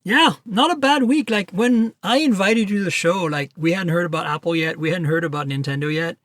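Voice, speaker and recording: A man's voice: high voice, a little fast, fairly bright tone, dry recording, clean studio sound. A man hosting a tech podcast, talking in a chatty, upbeat way and running through plugs. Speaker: podcast host. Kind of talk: casual tech podcast chat.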